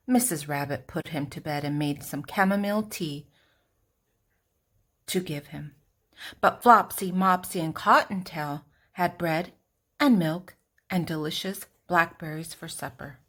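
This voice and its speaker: An older woman with a slightly low voice reads a story to children. She narrates expressively and deliberately, leaving dramatic pauses and leaning on key words.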